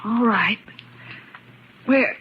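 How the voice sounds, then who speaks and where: Nervous lady reporter, higher-pitched. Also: extremely slow, slightly rough, exaggerated voice. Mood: wary, curious. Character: observant, nervous young lady newspaper reporter. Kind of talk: 1940s radio mystery acting. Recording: dull 1940s radio recording with faint noise.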